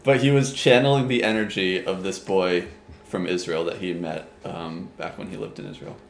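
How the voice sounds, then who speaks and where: A man's voice: low voice, moderate pace, gravelly. A man hosting a casual podcast chat, telling a rambling story and laughing easily. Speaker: podcast host. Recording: echoey room, faint background hum.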